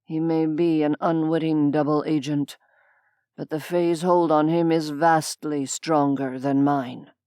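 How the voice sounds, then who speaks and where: Woman voicing a male demon, deep, cold, formal, aloof, dry. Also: fairly even intonation, unhurried, mellow, clear, resonant tone, no echo. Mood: cold detached. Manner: formal and detached.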